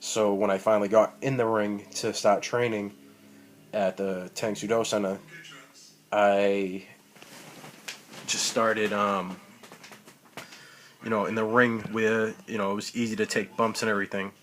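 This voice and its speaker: A man's voice: lowish voice, little pitch movement, a little slow, pauses often, a bit bright. A man, rambling profanely and full of ums as he talks about wrestling into a tablet mic. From Boston, Massachusetts. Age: 30s. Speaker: amateur wrestler. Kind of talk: rambling self-recorded vlog. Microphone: tablet built-in mic.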